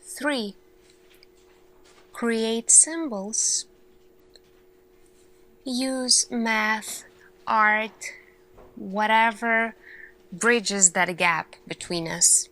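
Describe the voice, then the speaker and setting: Very slow, bright-voiced woman in ASMR roleplay. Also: fairly high pitch, rough recording. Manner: slow, deliberate, pausing, questioning delivery. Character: earnest, thoughtful female lead scientist addressing her team.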